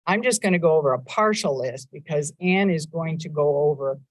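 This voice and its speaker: A woman's voice: sits low, no room sound. Upbeat woman giving a civic address. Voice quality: loud voice. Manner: measured, upbeat, stresses lists of items. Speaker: mayor giving a state of the city address. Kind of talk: prepared civic speech. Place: city council chamber. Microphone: council meeting microphone.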